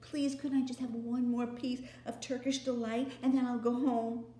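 Woman doing a higher whiny schoolboy. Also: level tone, moderate pace, warm tone, natural voice. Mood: pleading. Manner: pleading, eager. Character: greedy sulky schoolboy, eager and whiny. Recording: roomy echo.

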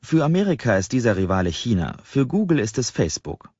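A man with a husky voice, narrating a novel in the first person as an audiobook reader. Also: medium pitch, big pitch swings, fast talker, darkish tone. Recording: slightly dull, roomy sound.

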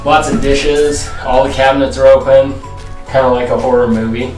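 A man in his forties casually showing viewers around a house, talking in a relaxed, plain-spoken way. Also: mid-range voice, moderate pace. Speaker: home buyer giving a house tour. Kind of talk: casual walkthrough vlog. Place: outdoors by a house, then inside empty rooms. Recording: echoey rooms, distant mic, music underneath.

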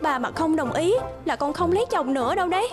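An actress playing a sweet, earnest young bride-to-be in a cartoon, youthful and expressive. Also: female voice, sits high, quick pace. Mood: earnest, pleading. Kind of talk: cartoon voice acting. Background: music.

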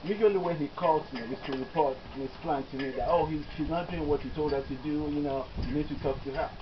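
A man's voice: fairly high pitch, fast talker. A middle-aged man with a Nigerian accent, a physician giving an earnest eulogy with many fillers, recorded outdoors by a far camera. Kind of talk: personal eulogy to an outdoor gathering. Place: outdoors under a tent on a grass field. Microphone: far camera, no mic. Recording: dull lo-fi camera audio with loud outdoor noise.

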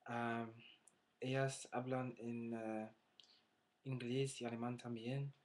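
A young man talks into his laptop webcam from a small bedroom, pausing often and filling the gaps with drawn-out "um"s as he hunts for each word. His delivery is slow and level, with little rise or fall in pitch, at a middle height. It sounds like a learner chatting casually rather than a trained speaker. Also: level tone, darkish tone. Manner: hesitant, many filler sounds, searching for words. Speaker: language learner.

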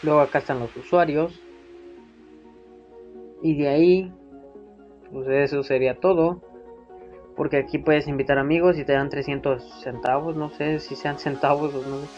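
A man's voice: on the high side, fairly even intonation, moderate pace, pauses often, a little mellow, dull audio. A young man giving a casual, hesitant, improvised phone app tutorial in an informal way. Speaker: tutorial video maker. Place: voice-over to a phone screen recording. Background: prominent background music.

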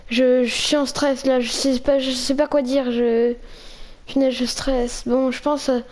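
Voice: high voice, level tone, measured pace, a little mellow. A young player acting a stressed, fretful survivor in a zombie game role-play, stammering and repeating. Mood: stressed, despairing.

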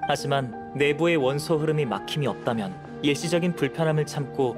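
Man playing a calm, lighter-voiced young male alchemist. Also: a little high, over music, close to an everyday voice. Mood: calm, reassuring. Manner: composed, measured, analytical, gentle. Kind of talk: anime game voice acting.

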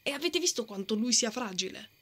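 A woman's voice: on the high side, lively intonation, brisk, a bit bright. A woman playing a brave, stubborn and protective female knight in a fantasy ASMR roleplay. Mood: indignant, flustered. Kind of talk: ASMR romantic fantasy roleplay, acted monologue.

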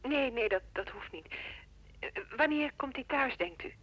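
A polite telephone caller in a radio drama. Her voice sits fairly high with a husky edge. She sounds tentative and courteous. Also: animated, normal speed, lo-fi sound.

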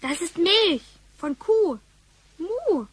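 A woman's voice: very high-pitched, expressive, very slow, full character voice. A female performer playing a plucky, forthright schoolgirl with cheeky, direct speech in a radio play. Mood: exasperated.

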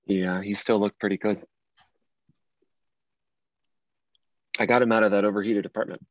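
A low male voice, close and dry, an actor as an earnest man in his late thirties carrying grief in a stage drama. He talks conversationally, and the sound is a little dull. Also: fairly even intonation, normal speed, no echo, lightly acted. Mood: fond. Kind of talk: table read of a dramatic script.

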